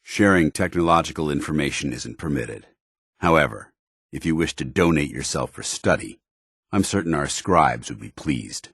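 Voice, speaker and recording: An actor playing a stern, duty-bound military commander in a video game, speaking formally with clipped authority. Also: male voice, a bass voice, lively intonation, normal speed, fairly bright tone, slightly rough, no room sound, clean studio sound, full character voice. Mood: commanding, businesslike. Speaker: commanding officer. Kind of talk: scripted video game dialogue.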